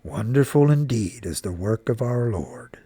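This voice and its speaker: A narrator playing a grave, thoughtful country father, devout and solemn, in a storybook reading. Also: no room sound. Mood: solemn, reflective. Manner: low, slow, solemn.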